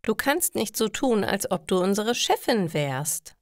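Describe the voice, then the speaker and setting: A woman's voice: medium pitch, sing-song, measured pace, no room sound, studio quality, voice pushed up. A woman voicing a firm, outspoken young girl in a story, earnest and reproachful.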